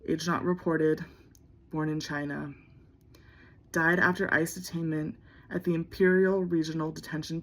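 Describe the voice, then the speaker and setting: A woman's voice: deep voice, creaky. A woman giving a solemn scripted reading for a memorial. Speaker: reader in an online remembrance video.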